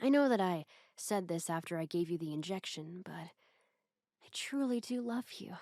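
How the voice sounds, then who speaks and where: A woman voicing an obsessive, sweetly doting yet menacing captor in an ASMR roleplay, soft and breathy, often slipping toward a whisper with tender, coaxing hesitations. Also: lowish voice, animated, normal speed, no echo, clean studio sound. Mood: softly menacing.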